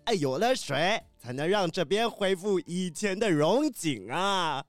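A voice actor playing a kindly older kiwi bird professor in a children's audio drama, hesitant and gently scolding. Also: mid-range voice, lively intonation, a little slow, dry recording, soft background music, natural voice. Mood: wistful.

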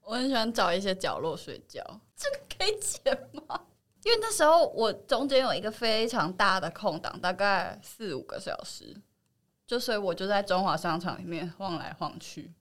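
A woman's voice: mid-range voice, sing-song, normal speed, a bit bright. A woman with a Taiwanese Mandarin accent, an actress guesting on a show and telling anecdotes in casual chat.

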